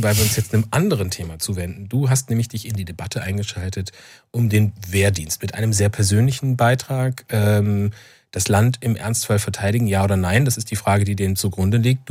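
A man hosting a radio interview, asking lively, probing questions. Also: on the low side, slightly rough, no echo. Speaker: radio host.

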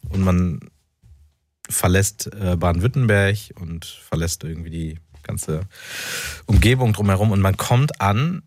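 Thoughtful, halting male interview guest. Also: low-pitched, a little mellow, no echo, clean studio sound. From North Rhine-Westphalia. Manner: thoughtful, halting, with many fillers. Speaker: author and journalist.

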